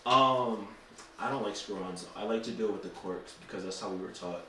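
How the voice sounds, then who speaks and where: A man co-hosting a podcast, calmly chatting and explaining how to present a wine. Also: lowish voice, brisk, a little hiss or hum. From Haitian and Cape Verdean family. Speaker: podcast co-host. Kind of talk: casual chat, presenting a wine. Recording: echoey room, mic far off.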